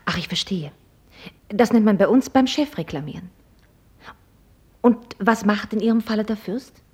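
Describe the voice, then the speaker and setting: An actress playing a proud, mockingly polite young American heiress in a German radio play, speaking in a formal, ironic and probing way. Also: female voice, mid-range voice, expressive, moderate pace, husky. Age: young adult.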